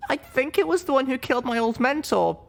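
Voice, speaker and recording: A man's voice: very high voice, expressive, a little fast, gravelly, pitched above the performer's own voice, exaggerated voice. A man voicing a young female pony, earnest and troubled, in an audiobook story. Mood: anguished, guilty. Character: young female pony, drunk, anguished, guilt-ridden.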